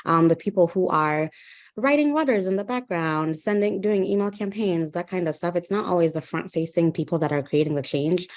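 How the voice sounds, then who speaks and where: A woman's voice: on the low side, fairly quick. A young woman from Uganda, a guest speaker in a webinar conversation, talking thoughtfully with many ums and restarts. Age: 20s.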